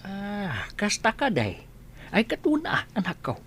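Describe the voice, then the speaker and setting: A woman playing an elderly village father in a radio drama, tender and concerned, asking gentle questions. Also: medium pitch, wildly swooping pitch, a little slow. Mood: curious, gentle. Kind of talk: Ilocano radio comedy drama acting. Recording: noisy track with background ambience.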